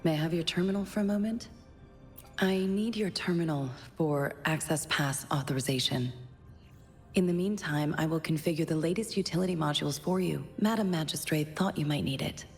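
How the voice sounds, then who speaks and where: A woman playing a calm, polite, formal young bodyguard in a video game, speaking in a measured way. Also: low-pitched, big-room sound, soft background music. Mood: businesslike. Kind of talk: scripted video game dialogue.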